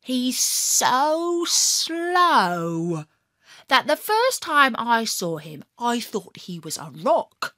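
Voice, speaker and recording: A woman narrating a children's story in first person as a boastful hare, playful and lively. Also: a little high, extremely slow, no room sound.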